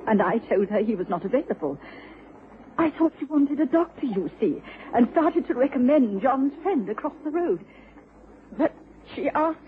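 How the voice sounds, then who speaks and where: An actress in a radio drama playing a proper, distressed British wife, with a genteel, husky voice. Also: female voice, on the high side, slight background noise. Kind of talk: radio drama acting with first-person narration. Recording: muffled radio drama recording.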